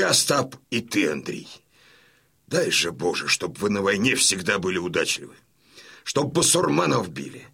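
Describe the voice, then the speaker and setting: Loud old cossack chief, husky n bright, bossy hearty radio drama dad, swings up and down. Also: male voice, medium pitch, exaggerated voice. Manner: commanding, hearty, folksy, declamatory. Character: boisterous, domineering old Cossack colonel, father of sons. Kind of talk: Russian radio drama acting.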